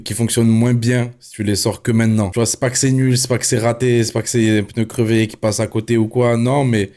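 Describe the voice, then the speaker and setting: A man streaming live and reviewing an album for his viewers, who swears constantly and swings from mulling things over to excited, laughing rants at the chat. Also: medium pitch, brisk. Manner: swears a lot, addresses chat, jumps from musing to excited ranting; laughs often.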